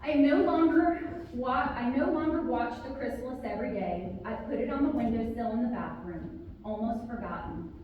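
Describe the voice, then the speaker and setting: A woman author speaking and reading a children's story aloud to a group, with expressive, drawn-out emphasis on key words. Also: a little high, lo-fi sound. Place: large reverberant room, live community gathering. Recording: very echoey hall, distant, faint noise.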